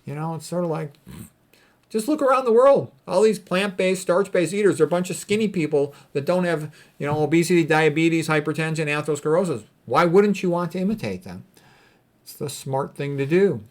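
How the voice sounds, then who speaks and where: A man's voice: fairly high pitch, brisk. Blunt, emphatic man giving health advice. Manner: blunt, opinionated, emphatic with repeated phrases. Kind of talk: informal commentary on read quotes.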